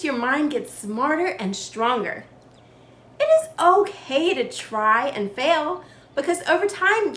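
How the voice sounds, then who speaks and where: A woman reading a children's storybook aloud in an animated, carefully enunciated way, as a storyteller for kids. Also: high voice. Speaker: children's read-aloud storyteller, show host. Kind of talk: scripted storybook narration for kids. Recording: roomy echo with faint background noise.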